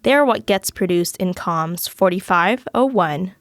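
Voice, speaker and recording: A young woman, a student host, reading a scripted podcast introduction in a measured way. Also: on the low side, lively intonation, no room sound. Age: young adult.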